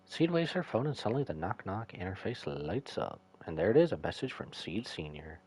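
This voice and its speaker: A man's voice: low-pitched, sing-song, fairly quick, darkish tone, breathy edge. A man giving casual playthrough commentary as a game streamer, reading on-screen text aloud as he goes.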